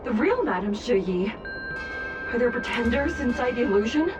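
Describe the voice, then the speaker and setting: A woman playing a composed, commanding judge in a video game, stern but reassuring, speaking in a formal, measured, authoritative way. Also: on the high side, lively intonation, music in the background, lightly acted. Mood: calm authority. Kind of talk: video game character dialogue.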